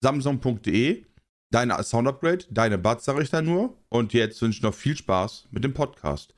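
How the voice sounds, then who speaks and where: Man, podcast co-host, has a cold, jokey ad read, playful pitch jumps. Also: lively intonation, measured pace. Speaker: podcast co-host reading the sponsor spot.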